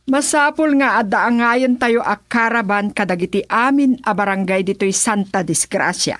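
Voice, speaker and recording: An actress playing a bossy, wealthy political matriarch in a radio serial, deliberate and commanding, with an Ilocano accent. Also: female voice, medium pitch, fairly bright tone, no echo, lightly acted. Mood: businesslike. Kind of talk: radio serial drama acting.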